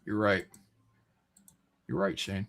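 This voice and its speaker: Male livestream host, forceful bright tone, casual and sweary, stumbles when reading. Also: low-pitched, completely flat delivery, slow pace, gravelly. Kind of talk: casual livestream chat and halting read-aloud.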